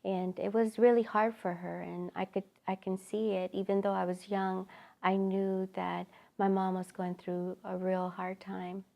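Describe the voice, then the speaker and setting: A middle-aged woman from El Salvador tells her personal testimony in a halting, reflective way with many pauses. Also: medium pitch, level tone, gravelly, warm tone. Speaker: ministry founder.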